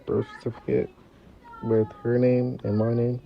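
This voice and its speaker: Brief: young man, slow and monotone, on a dull lo-fi recording. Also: mid-range voice, vocal fry. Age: young adult.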